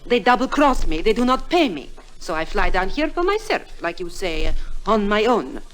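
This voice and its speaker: A woman's voice: medium pitch, sing-song, normal speed, close to an everyday voice. A woman playing a scheming, seductive femme fatale, pleading dramatically in 1950s radio drama style. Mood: pleading, indignant. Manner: pleading, dramatic, careful phrasing.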